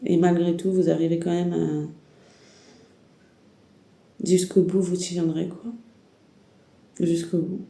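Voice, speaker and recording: A woman's voice: on the low side, flat delivery, slow pace, creaky, pauses often, dark tone, roomy sound. A woman with a heavy head cold and sore throat gives a rambling, hesitant spiritual guidance talk, speaking straight to the viewer. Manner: hesitant, rambling, many restarts and fillers. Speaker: tarot reader.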